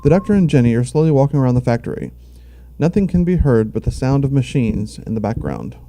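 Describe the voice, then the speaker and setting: A man's voice: medium pitch, very animated, quick pace, gravelly, mellow, soft background music. A man narrating a scripted audio drama, telling the action in the present tense.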